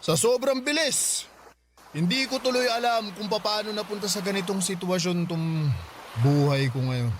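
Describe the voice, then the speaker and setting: High-pitched tipsy, musing uncle played by a man. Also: normal speed, background noise, natural voice. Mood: reflective, resigned. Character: drunk, heartbroken middle-aged uncle, reflective and philosophical. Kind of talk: Filipino radio drama dialogue.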